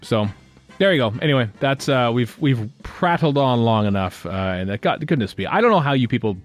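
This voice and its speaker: A man's voice: medium pitch, animated, a little fast, soft background music. A middle-aged man hosting a fan podcast in casual, chatty, rambling talk. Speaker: podcast host.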